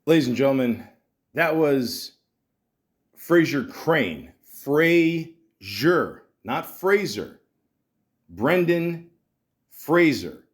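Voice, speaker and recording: A man hosting a podcast, delivering a rambling, profane comic monologue full of restarts. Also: on the high side. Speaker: podcast host. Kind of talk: casual comedic monologue.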